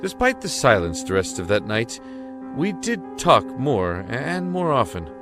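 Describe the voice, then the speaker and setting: Actor as an anguished young prisoner, swooping and echoey. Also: male voice, mid-range voice, very animated. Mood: wistful narrating. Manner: dramatic, halting, emotional with pauses. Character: anguished, earnest young male prisoner in a dark cell. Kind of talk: audio drama acting and first-person narration. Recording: echoey, like a dark cell. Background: music.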